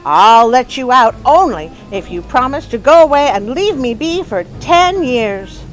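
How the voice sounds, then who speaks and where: A woman's voice: high voice, very animated, unhurried, soft background music, poor audio. A woman storyteller voicing a cunning, stingy blacksmith who bargains slyly in a folktale. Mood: gloating. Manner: sly, bargaining.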